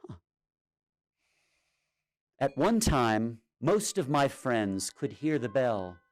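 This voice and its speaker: A man reading a children's story aloud in a theatrical, expressive way with drawn-out pauses. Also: medium pitch. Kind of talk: dramatic children's storytime narration. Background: music and sound effects.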